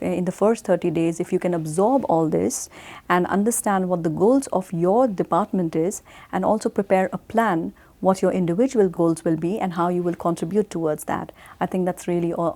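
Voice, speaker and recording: A middle-aged woman from India answering interview questions in a measured way, often pausing with fillers. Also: on the low side, steady tone, darkish tone. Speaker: guest.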